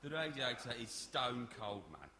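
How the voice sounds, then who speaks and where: A man's voice: mid-range voice, big pitch swings, moderate pace, pushed and bright. A man acting in a radio play as a droll complainer at a meeting. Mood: droll grumbling. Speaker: actor in a community radio play. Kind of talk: radio drama performed before an audience. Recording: echoey hall with background noise.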